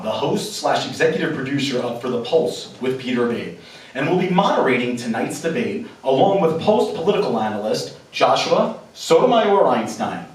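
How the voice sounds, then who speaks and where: A man hosting and moderating a local political debate in a theater, with formal announcer delivery. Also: medium pitch. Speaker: debate moderator and show host. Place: theater stage before a live audience. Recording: echoey theater from far off.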